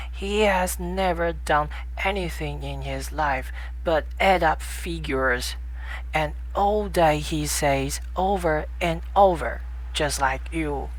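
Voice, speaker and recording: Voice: mid-range voice, fairly bright tone, pitched above the performer's own voice, poor audio. A reader voicing an earnest, indignant little prince, a small boy, with an insistent, reproachful way of speaking in a storybook. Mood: scornful. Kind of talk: storybook audiobook character voice.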